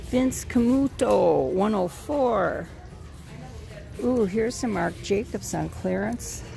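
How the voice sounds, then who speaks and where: A woman's voice: mid-range voice, lively intonation, gravelly, mellow. A woman vlogging as she shops in a retail outlet, musing aloud and reading off prices as she browses, filmed on a handheld phone. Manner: slow, musing price read-outs while browsing. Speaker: shopping vlogger. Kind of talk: casual shop-with-me narration. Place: retail outlet store, indoors. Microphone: handheld phone camera. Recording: store music behind.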